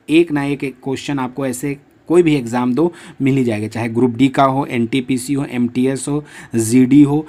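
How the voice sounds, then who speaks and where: A man teaching a maths class in a medium-pitched voice, walking through each problem in steady steps. He puts questions to his students and answers them right after, often turning to address the viewers warmly. His tone stays instructive and patient throughout. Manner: tags lines with a friendly address to viewers. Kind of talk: exam-prep maths lesson.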